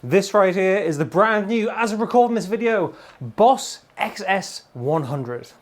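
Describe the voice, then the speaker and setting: High-pitched, effusive British man. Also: animated, unhurried, a little hiss or hum. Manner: effusive, emphatic, colloquial. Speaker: guitar gear demonstrator. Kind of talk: enthusiastic product demo to camera. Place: indoor room full of guitars and amplifiers.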